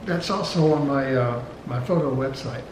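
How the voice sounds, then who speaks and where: An older man in his sixties or beyond, chatting casually at home in a halting, musing way. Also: mid-range voice. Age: 60s or older. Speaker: vlog host's companion. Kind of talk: casual chat while opening gifts. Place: indoors at home, roomy space. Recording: roomy echo, noticeable background noise.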